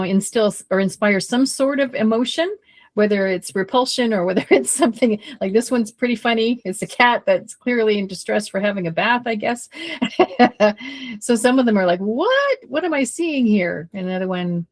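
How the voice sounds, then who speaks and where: A woman tutor on a podcast, explaining a writing activity as she goes. She is losing her voice and sounds nervous, laughing often between points. Her voice sits at a middle pitch. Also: lively intonation, normal speed.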